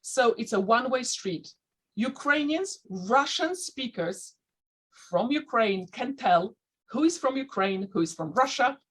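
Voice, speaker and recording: A woman who learned English as a second language, speaking with a slight Dutch-sounding accent as a panelist in an online discussion, pausing and restarting as she looks for words. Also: a little high, animated, slightly rough. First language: not English. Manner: thoughtful, searching for words with frequent restarts.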